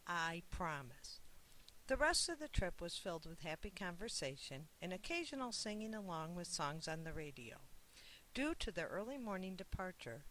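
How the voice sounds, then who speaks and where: An author reading a children's picture book aloud in a measured, expressive way. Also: medium pitch, a little hiss or hum, low-quality recording. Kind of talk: scripted picture-book narration.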